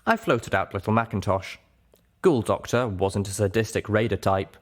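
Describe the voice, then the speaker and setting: A man's voice: lowish voice, moderate pace. A man reading scripted first-person fiction aloud as an audiobook narrator.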